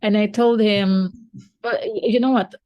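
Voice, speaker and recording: A woman's voice: medium pitch, steady tone, a little slow, no room sound, dull audio. A woman teacher and podcast co-host who is not a native English speaker, chatting casually with many fillers and restarts.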